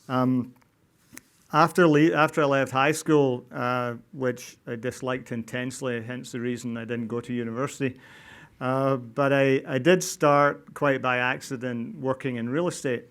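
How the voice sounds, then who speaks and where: Middle-aged Scottish man, smooth clear voice. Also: medium pitch. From Scotland, Glasgow. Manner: halting, with many fillers and restarts. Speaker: non-profit housing developer. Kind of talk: public talk to an audience. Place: event venue before an audience.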